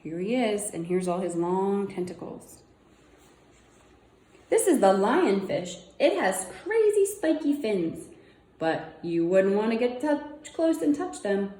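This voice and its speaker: Female read-aloud presenter for kids' nonfiction, mellow and unhurried, simple wondering asides, roomy echoing sound. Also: medium pitch, big pitch swings. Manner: simple child-directed phrasing, wondering aloud. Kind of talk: reading a children's nonfiction book aloud with casual asides.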